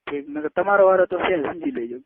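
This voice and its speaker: Fast, high-pitched young Gujarati man on phone. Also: expressive, gravelly, a crowd in the background. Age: 20s. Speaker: caller. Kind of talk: casual private phone chat. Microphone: telephone line. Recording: tinny narrowband phone call recording.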